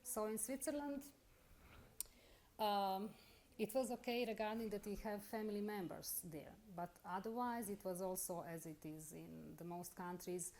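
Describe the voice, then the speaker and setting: A middle-aged woman with a Bosnian accent, carefully telling her own story in halting English during an interview. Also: medium pitch, fairly even intonation, darkish tone, slight background noise. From Bosnia and Herzegovina. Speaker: interviewee, lawyer. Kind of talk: personal account in an interview.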